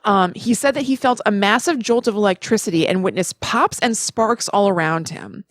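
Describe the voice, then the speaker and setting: A woman talks as a podcast co-host, explaining things in a casual, conversational way. Her pitch sits in the middle range for a woman. The sound is dry, with no audible room around her. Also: moderate pace, dry recording. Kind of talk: casual chat, retelling research.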